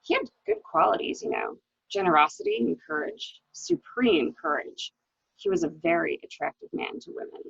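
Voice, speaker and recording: A dull, lo-fi recording of a woman acting a genteel wife in a murder mystery reading. Her voice is husky and slightly gravelly, with theatrical phrasing that carries resignation and bitterness. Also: lowish voice, wildly swooping pitch, moderate pace, fairly bright tone, no room sound, heavily acted. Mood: resigned, bitter. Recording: dull, lo-fi audio.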